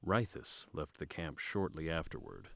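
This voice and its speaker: A male narrator with a deep voice reads scripted fantasy drama narration. The recording is close and very dry. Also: sing-song, moderate pace, creaky, fairly bright tone, no echo.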